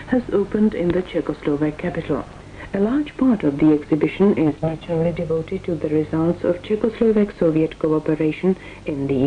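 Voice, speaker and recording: Tinny shortwave woman newsreader, low voice. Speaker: radio newsreader. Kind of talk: scripted 1980s international news reading. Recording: tinny narrow shortwave, faint noise.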